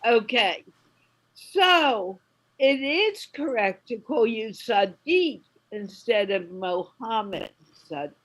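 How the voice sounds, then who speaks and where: A woman from the United States hosting a friendly video call chat, asking questions at an unhurried pace. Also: on the high side, expressive, a bit bright, rough recording. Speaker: host.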